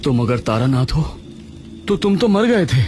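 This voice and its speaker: A man voicing a bewildered, anxious young male villager, urgent and questioning, in an animated horror story. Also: mid-range voice, measured pace, faint music behind. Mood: astonished, distressed.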